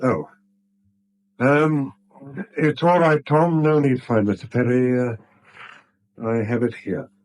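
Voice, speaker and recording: Man acting a cornered bank official, mid-range voice, tense, halting, conspiratorial, vintage radio play. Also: mid-range voice, steady tone, darkish tone, natural voice. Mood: flustered. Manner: tense, hesitant, terse. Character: anxious, cornered male bank official, conspiring under pressure. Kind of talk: 1970s British radio drama acting.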